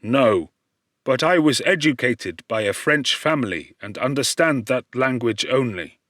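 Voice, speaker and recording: A man's voice: mid-range voice, expressive, unhurried, a bit bright, dry recording, studio quality. A man voicing a lonely, humble outcast creature in an audiobook, speaking formally with anxious, pleading earnestness. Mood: anxious, forlorn. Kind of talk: audiobook character voice.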